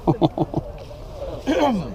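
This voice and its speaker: A man reading his own comic novel aloud with a dry, deadpan delivery, outdoors at an event. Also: high voice, very slow, a crowd in the background. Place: outdoors at a medieval combat event.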